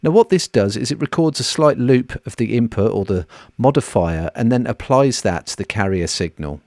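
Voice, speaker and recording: A man presenting an audio software walkthrough in a scripted, explanatory narration, recorded close on a voice-over mic. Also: medium pitch, expressive, moderate pace, no room sound.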